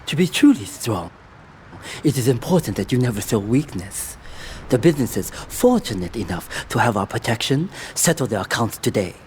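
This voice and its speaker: Stern, honor-bound gang boss by an actor, formal and lively in delivery, for a video game cutscene. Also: male voice, mid-range voice, moderate pace, a little hiss or hum, natural voice. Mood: commanding. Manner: formal, grave, commanding. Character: proud, ruthless crime boss who speaks formally.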